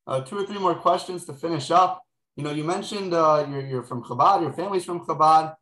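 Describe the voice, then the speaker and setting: A man interviewing a guest, asking questions haltingly and repeating words. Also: fairly high pitch, fairly bright tone. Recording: echoey room.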